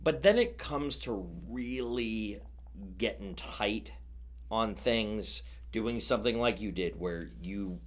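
A man's voice: on the low side, expressive, slow pace. A man in his thirties, a business owner talking as a guest on a podcast, rambling with many restarts and fillers. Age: 30s. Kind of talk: casual podcast conversation.

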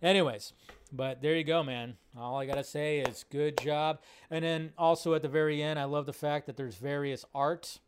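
A man's voice: on the high side, fairly even intonation, moderate pace, background noise. A man hosting a livestream, giving casual, enthusiastic and exclamatory reaction commentary in an informal way. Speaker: livestream host.

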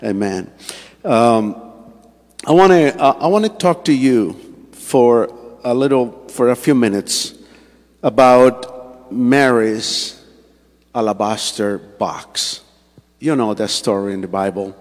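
A man from Colombia with a Colombian Spanish accent, a native Spanish speaker, preaching in English in a halting way with many fillers and restarts. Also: mid-range voice, long reverb tail. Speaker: pastor. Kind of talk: church sermon. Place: church sanctuary.

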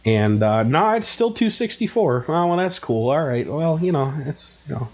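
A man's voice: fairly high pitch, animated, normal speed. Stuffed-up nasal man, dull lo-fi sound. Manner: rambling, self-mocking, many fillers. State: stuffed up with a cold. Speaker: podcast co-host. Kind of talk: casual chat.

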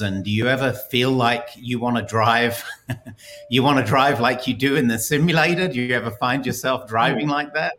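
A man's voice: medium pitch, a bit bright. Male co-host asking loose questions. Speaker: podcast co-host. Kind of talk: casual interview.

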